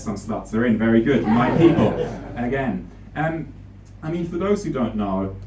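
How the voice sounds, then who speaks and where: A man's voice: medium pitch, noisy background. Swiss-German stand-up man in a roomy venue. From Switzerland. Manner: dry, tag-question asides. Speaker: stand-up comedian. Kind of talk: stand-up set. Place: comedy venue with live audience. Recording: roomy, distant mic, audience laughter.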